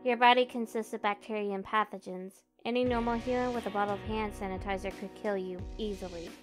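A woman playing a tough, blunt, no-nonsense young nurse, firm and matter-of-fact, in an animated comic dub. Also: mid-range voice, quick pace, natural voice. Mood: cool, firm. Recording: faint music behind the voice.

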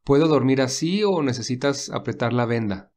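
A man voicing a caring young male lead in a read-aloud romance, speaking softly with light teasing. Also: mid-range voice, lively intonation, normal speed, natural voice. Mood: gentle.

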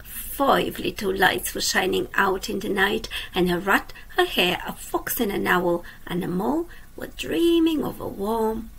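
Woman teacher, children's read-aloud at a webcam; slow and gentle, husky with some fry, quizzes the kids as she goes. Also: medium pitch, expressive, poor audio. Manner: slow, gentle, drops to a soft whisper at line ends; asks the young listeners questions. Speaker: teacher reading a storybook. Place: indoors at a computer.

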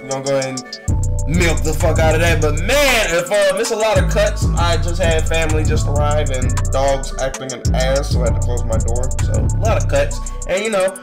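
A young adult man giving casual, expressive, profane reaction commentary full of slang, recorded in a room at home. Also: fairly high pitch, fairly quick, music in the background. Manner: expressive, profane, exaggerated disbelief. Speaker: reaction video host.

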